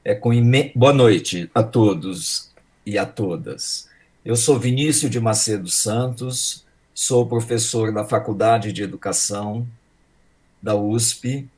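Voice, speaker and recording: Older Brazilian man, halting and reflective. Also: on the low side, darkish tone. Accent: mixed Maranhão and São Paulo Brazilian. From Maranhão, Brazil. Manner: halting, reflective, seeks agreement with tags. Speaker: speaker, education professor. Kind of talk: semi-formal, unscripted opening remarks. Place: indoors, online video call.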